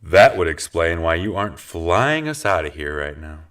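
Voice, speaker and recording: A sarcastic prisoner, slightly distant from the microphone. His lowish, animated voice mocks and needles his fellow captive in a superhero comedy radio play. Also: moderate pace. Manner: dry sarcasm, mocking.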